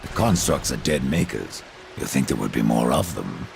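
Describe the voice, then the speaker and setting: Extremely deep, gravelly grim horseman. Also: big pitch swings, normal speed, noisy background, heavily acted. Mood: dry, cold. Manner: terse, sardonic. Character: grim, menacing horseman of death, weary and merciless. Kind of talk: video game cutscene acting. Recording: music behind the voice. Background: game score.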